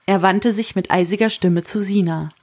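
A woman narrates a scripted audio drama. Her voice is medium in pitch, reading prose as a storyteller between the characters' lines. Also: moderate pace, a little mellow, no echo.

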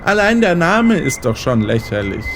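A man's voice: fairly high pitch, sing-song, normal speed, gravelly, over music. An actor playing a smug, menacing male pursuer in a radio play, condescending and theatrical.

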